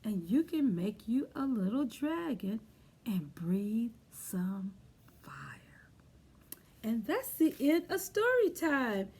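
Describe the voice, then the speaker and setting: A woman's voice: on the high side, big pitch swings, takes it slowly, darkish tone, breathy edge. A woman hosting storytime for young children, encouraging and sing-song as she leads rhymes and simple activities. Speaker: children's library storytime host. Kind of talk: children's storytime with rhymes, songs and craft talk.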